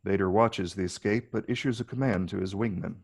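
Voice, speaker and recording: Gravelly low-voiced man narrating a screenplay. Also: a little fast, a little mellow. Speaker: narrator reading stage directions.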